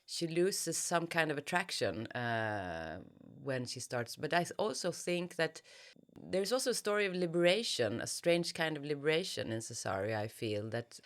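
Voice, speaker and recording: A woman's voice: deep voice, big pitch swings, normal speed. A woman giving a reflective interview as a novelist, thoughtfully searching for her words. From Sweden.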